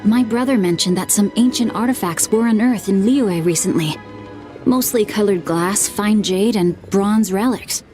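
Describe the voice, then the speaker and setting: A performer voicing a refined, bookish young male scholar who explains things in a measured way in a video game cutscene. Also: medium pitch, darkish tone, close to an everyday voice. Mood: explaining. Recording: game audio with faint music.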